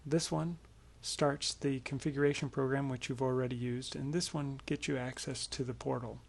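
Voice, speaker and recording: A man presenting a software tutorial as a casual screencast walkthrough, explaining things informally in a creaky voice. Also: mid-range voice, flat delivery, moderate pace.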